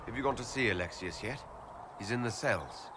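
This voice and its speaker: A man voicing a reserved, inquisitive leader in a video game, asking questions in a measured, courteous way. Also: medium pitch, expressive, background noise, close to an everyday voice. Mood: matter-of-fact. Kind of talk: video game character dialogue.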